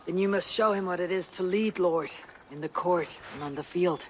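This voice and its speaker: Steady, seasoned Viking raider played by a man. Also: sits high, moderate pace, a little hiss or hum, close to an everyday voice. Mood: resolute. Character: seasoned male Viking raider, steady, pragmatic war counsellor. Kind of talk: video game cutscene acting.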